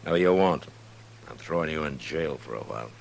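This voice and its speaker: An American man playing a steady, plain-spoken frontier marshal in old-time radio western style, firm and measured. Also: extremely low pitch, creaky, heavily acted. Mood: firm, grim. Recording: old radio recording with hum and hiss.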